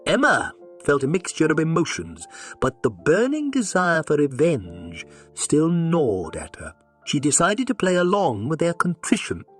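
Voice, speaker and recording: Animated high-pitched male storyteller. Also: unhurried, slightly rough, faint music behind. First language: English. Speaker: story narrator. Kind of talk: scripted dramatic story narration.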